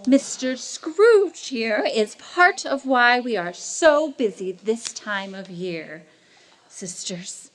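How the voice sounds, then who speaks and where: A formidable, reproachful Mother Superior, acted by a woman for a radio play. Her voice is fairly high and very animated in pitch, yet slow. She admonishes with measured authority. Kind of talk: stage radio drama acting.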